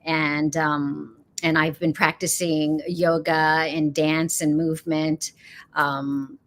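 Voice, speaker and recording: A middle-aged woman from Los Angeles, a yoga teacher speaking as an interview guest in an unhurried way, laughing often and pausing with frequent "um"s. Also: sits low, level tone, a bit bright. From Los Angeles, United States. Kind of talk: casual interview chat.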